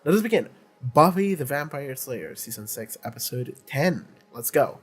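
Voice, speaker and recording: A man's voice: medium pitch, sing-song, moderate pace, music in the background. A young man with a congested voice, hosting a casual reaction video and chatting bluntly, with plenty of swearing and laughter.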